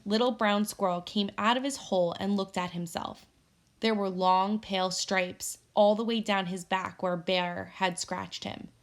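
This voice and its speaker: A woman teacher reading a children's storybook aloud expressively to her pupils. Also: medium pitch.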